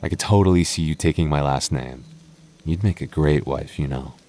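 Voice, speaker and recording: A young goth boyfriend, played by a man, talks close and soft in an ASMR roleplay. His voice sits low and warm, and he teases his partner fondly. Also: moderate pace, slight background noise. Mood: affectionate. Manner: soft, intimate, playfully teasing. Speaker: voice actor.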